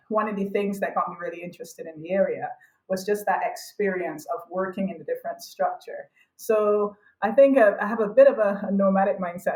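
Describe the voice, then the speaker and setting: A woman's voice: on the low side, darkish tone. A middle-aged woman from Barbados, explaining conversationally as an interview guest. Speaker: interview guest, academic and consultant. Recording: echoey room sound.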